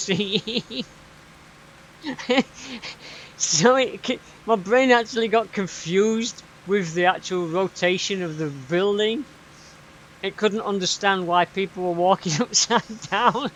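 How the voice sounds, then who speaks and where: A man's voice: fairly high pitch, a bit bright, slight background noise, rough recording. A man with a Yorkshire accent chatting casually while playing a farming game, rambling and often tagging on "right?". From Yorkshire, England. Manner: chatty, rambling, tag questions. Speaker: gaming YouTuber. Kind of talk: casual rambling commentary over gameplay.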